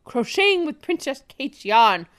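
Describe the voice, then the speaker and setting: A suave male secret agent played by a woman in a spoof spy drama. The delivery is portentous and melodramatic, dropped well below the performer's own pitch, with dramatic swings. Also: sing-song, measured pace, a bit bright, heavily acted. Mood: grave, smug. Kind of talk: spoof spy radio play.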